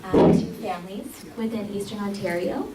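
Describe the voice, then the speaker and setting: A soft-spoken woman from a cancer charity giving a community presentation, hesitant, with many uhs. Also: mid-range voice, moderate pace, background noise. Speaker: charity representative. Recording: distant mic in a roomy hall.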